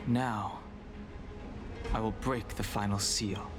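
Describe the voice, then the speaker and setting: A solemn guide from a video game speaks in a grave, declarative voice. Loud orchestral game music fills the background. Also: male voice, lowish voice, steady tone, slow.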